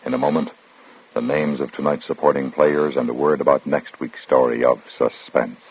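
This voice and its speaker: An American man announcing a 1950s radio show from a script, formal, measured and ominous. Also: very deep voice, level tone, gravelly, husky, no room sound. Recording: tinny old radio broadcast, music behind.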